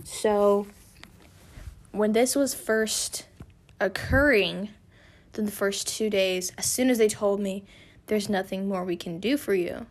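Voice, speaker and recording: A young woman hosting a personal podcast, her voice a little raspy from feeling unwell, talking candidly and rambling with restarts. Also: medium pitch. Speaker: podcast host. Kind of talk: casual personal podcast monologue.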